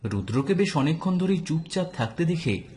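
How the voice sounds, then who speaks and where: A man's voice: fairly high pitch, big pitch swings, fairly quick, a little mellow. A man narrating a scripted detective story as an audio drama narrator.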